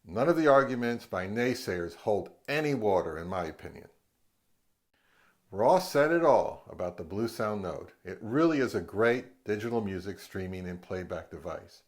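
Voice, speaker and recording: A middle-aged man hosting a gear review in an explanatory vlog style. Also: on the low side. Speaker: host, audio gear reviewer.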